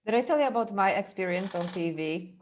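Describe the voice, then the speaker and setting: A woman from Poland, a podcast guest telling stories in an animated way and laughing easily. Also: mid-range voice, fairly quick, slight background noise. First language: Polish. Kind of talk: casual storytelling chat.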